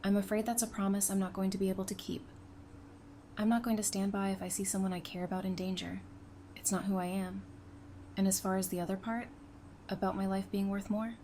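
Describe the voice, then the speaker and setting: An actress playing a brave, loyal, plain-spoken survivor in an audio drama. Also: female voice, medium pitch, flat delivery, a little fast, warm tone, close to an everyday voice. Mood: tender, firm. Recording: clear background noise.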